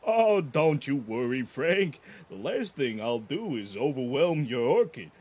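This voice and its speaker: Man voicing a husky, teasing cartoon dog. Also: medium pitch, sing-song, measured pace, a little mellow. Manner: jokey, teasing patter. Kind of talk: cartoon character voice acting.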